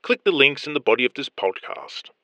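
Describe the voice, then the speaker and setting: A man's voice: medium pitch, sing-song, fairly quick, bright, forceful tone. A man reading a scripted promotional announcement as an announcer.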